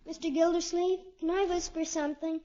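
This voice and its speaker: A very high, childlike voice in a 1950s radio comedy. He is earnest and curious, asking literal questions with polite bluntness. The old broadcast sound is dull and narrow. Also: flat delivery, a little fast, warm tone. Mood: polite, forthright. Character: polite, curious, forthright little orphan boy.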